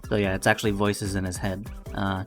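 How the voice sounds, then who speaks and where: A man's voice: sits low, flat delivery, fairly quick, faint music behind. A man who does amateur voice acting, chatting casually and thinking aloud as a laid-back, informal vlog host.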